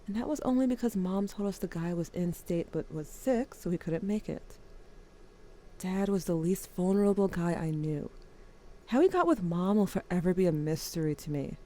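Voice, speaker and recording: Woman playing a subdued, mellow young narrator. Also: lowish voice, expressive, normal speed, close to an everyday voice. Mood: wry, confiding. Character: young narrator recounting family memories, wry, subdued, haunted. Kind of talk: scripted first-person audio drama narration.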